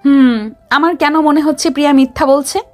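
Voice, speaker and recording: Woman playing a curious young female agent in an audio drama, really high voice. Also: steady tone, a little fast, soft background music, lightly acted. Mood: suspicious, questioning. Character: curious, watchful young female security team member. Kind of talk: audio story acting.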